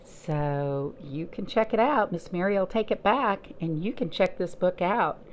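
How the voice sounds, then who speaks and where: A woman reading and chatting to young children as a storytime host, in an expressive sing-song way with lots of simple questions. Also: lowish voice, measured pace. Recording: noticeable background noise.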